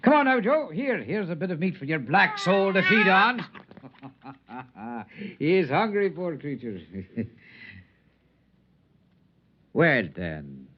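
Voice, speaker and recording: An actor playing a whimsical, charming Irish outcast in a 1950s radio drama, speaking in lilting, poetic, theatrical phrases. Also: male voice, fairly high pitch, very animated, slow pace, long pauses, slight background noise. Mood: gentle, jovial. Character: whimsical, lyrical, charming male wanderer, a sprightly radiation-touched outcast. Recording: dull vintage 1950s radio recording.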